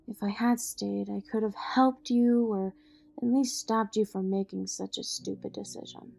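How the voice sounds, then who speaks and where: A woman's voice: mid-range voice, steady tone, normal speed, vocal fry, a little mellow. A woman acting a loyal, no-nonsense ally of a vigilante in an audio drama. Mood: regretful, frank. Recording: faint music behind the voice.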